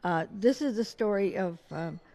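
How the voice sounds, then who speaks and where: A woman's voice: mid-range voice, expressive, normal speed, dark tone. Older woman telling family stories, earnest. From Ukraine. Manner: earnest, storytelling, many fillers. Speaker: guest journalist. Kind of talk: casual interview chat.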